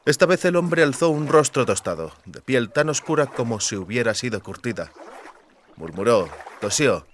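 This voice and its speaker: A man's voice: mid-range voice, fairly bright tone, slight background noise. A man reading scripted literary fantasy fiction as an audiobook narrator. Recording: clear water and boat ambience behind. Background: lapping water and rowing boat sounds.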